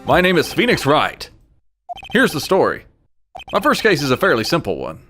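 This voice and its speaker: A man's voice: on the high side, huge pitch swings, moderate pace, exaggerated voice. A man playing an earnest, hesitant young defense lawyer in a video game fandub, given to stammering under pressure. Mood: earnest narration. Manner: hesitant, stammering when put on the spot. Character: earnest, nervous rookie male defense lawyer in his twenties. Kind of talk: game fandub acting with inner monologue. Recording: loud music bed under the voice.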